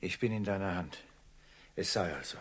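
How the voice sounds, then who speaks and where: A captive trader with a low, husky voice. He is dignified and guarded, answering in short, formally polite phrases edged with dry irony, in classic radio-play style. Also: normal speed. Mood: resigned. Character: captive merchant, dignified, dryly ironic and wary. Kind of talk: classic German radio drama acting.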